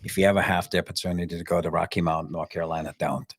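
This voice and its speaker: A man from Austria, hosting a podcast interview in a relaxed, joking chat style. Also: sits low, very fast, husky, no room sound, studio quality. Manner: relaxed storyteller, jokey asides. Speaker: podcast host.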